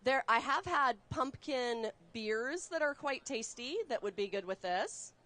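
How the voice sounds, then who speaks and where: Woman chef, Texas-raised, live cooking demo, chatty, laughs easily, slightly high voice. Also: animated, a little slow, sharp tone. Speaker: guest chef. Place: studio kitchen.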